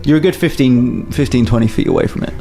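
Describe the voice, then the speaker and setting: A man narrating a tabletop game as a first-time game master, pausing often with uhs and restarts. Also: mid-range voice, expressive, creaky, warm tone, faint music behind. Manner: hesitant, lots of uhs and restarts.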